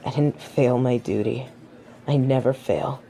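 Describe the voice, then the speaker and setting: A woman's voice: very deep voice, measured pace, mellow. A woman playing a proud, haughty fallen angel of judgement in a scripted audio roleplay, dramatic and defensive. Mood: bitter, defensive.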